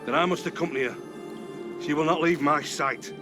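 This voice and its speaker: A man's voice: fairly high pitch, a little fast, over music, natural voice. A man playing a loyal, protective Puritan husband in a video game, speaking formally and steadfastly in archaic English. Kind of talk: dramatic video game cutscene acting.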